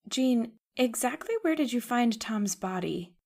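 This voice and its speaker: A woman's voice: on the high side, animated, a little slow, vocal fry, a little mellow, heavily acted. A woman playing an anxious, devoted young woman in an old-time radio horror drama, earnest and melodramatic. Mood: troubled, insistent.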